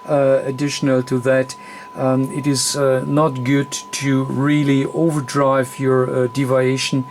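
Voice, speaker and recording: A man who speaks as a walks through a technical demonstration off the cuff. His delivery is slow and hesitant, broken into short phrases, at a medium pitch. Light background noise sits behind the voice. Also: monotone, a little mellow. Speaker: radio repair technician presenting a tutorial. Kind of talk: unscripted bench demonstration commentary.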